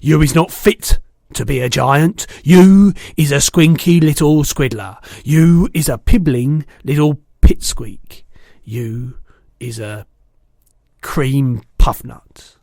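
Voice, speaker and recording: A man's voice: on the high side, slow pace, creaky, mellow, no echo, clean studio sound, exaggerated voice. A man voicing a brutish, greedy, man-eating giant in a storybook, boastful and bullying, with mangled words. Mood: furious, insulting.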